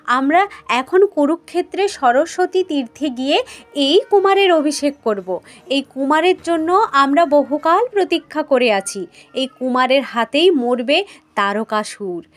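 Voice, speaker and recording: Woman voicing high-pitched, solemn gods. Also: lively intonation, unhurried. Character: assembly of gods, solemn, hopeful, commanding. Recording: music behind the voice.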